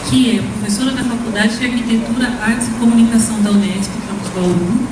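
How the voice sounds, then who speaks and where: A woman introduces the panel speakers in a formal way, reading through their credentials one by one. Her voice comes from away from the microphone and rings through a very echoey hall, with clear background noise. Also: a little high, fairly even intonation, fairly quick, distant mic. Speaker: session moderator. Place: lecture hall.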